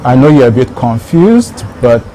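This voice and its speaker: A man hosting an interview casually, friendly and quick to laugh. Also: mid-range voice, very animated, mellow, a little hiss or hum, poor audio. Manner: friendly, laughs often, brief backchannels. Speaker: host, channel founder.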